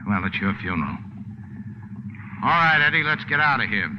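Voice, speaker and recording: An actor playing a cynical boat captain in a 1940s radio drama, curt and sardonic, with an American accent. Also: male voice, medium pitch, sing-song, normal speed, natural voice. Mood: curt, wary. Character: hard-boiled, cynical male boat captain. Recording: muffled, hissy old radio recording.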